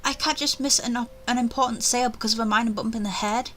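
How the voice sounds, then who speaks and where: A woman reading a visual novel aloud in first person, animated and chatty, with offhand asides. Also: on the high side, fast, a little hiss or hum.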